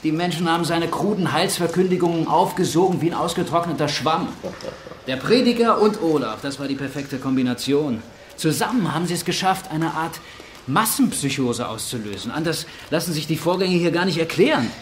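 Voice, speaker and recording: An actor playing a weary, sardonic survivor in a radio drama, wry and matter-of-fact. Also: male voice, on the high side, animated, a little fast, natural voice. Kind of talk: German radio drama acting. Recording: echoey with noisy ambience.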